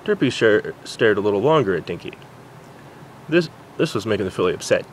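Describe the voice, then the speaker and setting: Animated man reading a story aloud. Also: fairly low pitch, brisk, a little hiss or hum. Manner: stumbles over words while reading. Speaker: amateur fan fiction reader.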